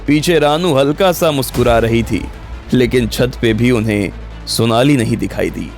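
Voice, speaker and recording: A man narrates a scripted audio story in a medium-pitched voice. Soft music plays behind his narration. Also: normal speed, creaky. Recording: background music under the voice.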